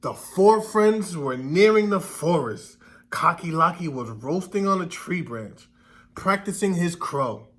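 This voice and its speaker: Male storybook reader: high pitch, lively intonation, expressive, explanatory classroom delivery. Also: unhurried. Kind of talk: children's read-aloud narration with questions to listeners.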